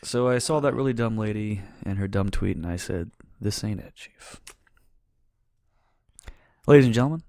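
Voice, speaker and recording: A man's voice: lowish voice, moderate pace, pauses often, mellow, no room sound, clean studio sound. A man co-hosting a podcast, chatting casually with crude, sarcastic humor and frequent laughter. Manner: sarcastic, profane, laughing often. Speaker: podcast co-host.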